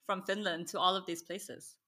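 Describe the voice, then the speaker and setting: Woman podcast host, soft-spoken, hesitant, casual interviewer. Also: fairly low pitch, little pitch movement, normal speed.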